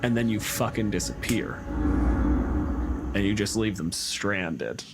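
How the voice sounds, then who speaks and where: A man's voice: fairly low pitch, animated, slow, over loud music. A man hosting a podcast, talking informally and explaining things in a casual panel discussion. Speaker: podcast host.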